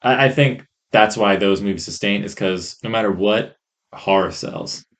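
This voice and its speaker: Guy with a lowish voice rambling on a podcast, kinda hesitant, rough mic audio. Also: moderate pace, fairly bright tone. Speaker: podcast co-host. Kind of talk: casual chat.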